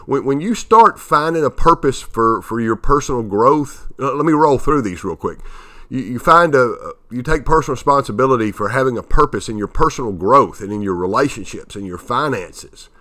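A man in his fifties hosting a motivational podcast, a bit nasal from allergies, talking in a halting way with many fillers and restarts. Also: medium pitch, expressive, sharp tone. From Tennessee. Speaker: podcast host.

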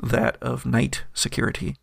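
Gravelly male game master, dry close sound, descriptive narration with fillers. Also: medium pitch, level tone, normal speed, no room sound.

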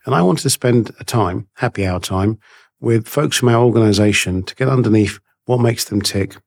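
A man's voice: lowish voice, normal speed, creaky. A man hosting a casual interview, polite and encouraging. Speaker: host.

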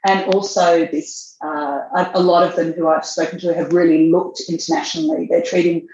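A woman's voice: lowish voice, little pitch movement, mellow, a little hiss or hum. Woman, roomy lo-fi sound. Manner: measured, thinks aloud while answering. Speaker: fashion magazine editor, guest. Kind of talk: interview answers.

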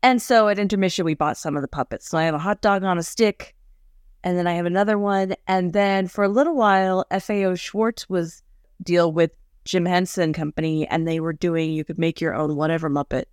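A woman's voice: lowish voice, fairly even intonation, a little fast, clear, resonant tone, dry recording. A woman co-hosting a podcast in casual chat, playful and laughing often, recorded close and dry. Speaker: podcast co-host.

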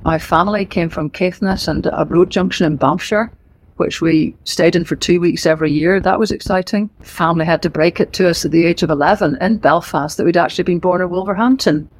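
A middle-aged woman with a Northern Irish accent, an author giving a lively, emphatic book talk to a live audience in a library. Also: fairly low pitch, a little fast, low-quality recording. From Northern Ireland. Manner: lively, emphatic and conversational, with asides. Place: library event room.

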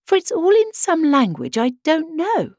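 A woman's voice: very high voice, huge pitch swings, normal speed, a little mellow, no echo, studio quality, pitched above the performer's own voice, heavily acted. A woman voicing a curious young girl in a children's storybook, eager and wondering. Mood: puzzled. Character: curious, bright young girl puzzling things out.

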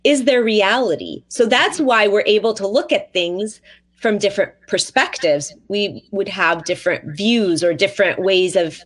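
A woman's voice: medium pitch, normal speed. A woman hosting a podcast in a casual, conversational, enthusiastic and affirming way. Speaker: podcast host.

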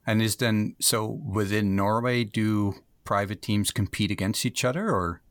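A man's voice: lowish voice, measured pace, dry recording. Easygoing male podcast co-host. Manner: easygoing, laughs readily. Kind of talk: casual podcast chat.

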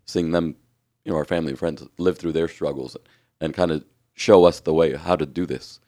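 A hoarse man with a raspy voice, co-hosting a podcast in earnest, reflective casual chat. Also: extremely low pitch, steady tone, fairly quick, mellow. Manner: earnest, reflective, restarts often. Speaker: podcast co-host.

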